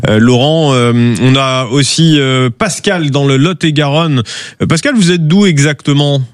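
A man's voice: a little high, unhurried, dry recording, studio quality. A man hosting a call-in radio show, prompting callers in a friendly way. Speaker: radio host.

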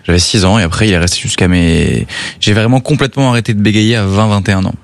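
A man's voice: lowish voice, fast, gravelly, no room sound. A young man, a singer, talking in a radio interview, hesitant with fillers and restarts. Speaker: singer guest.

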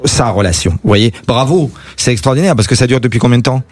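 A man's voice: medium pitch, expressive, fast, faint music behind. A man hosting a radio talk show, leading the caller through a set of questions with emphatic turns. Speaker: radio host.

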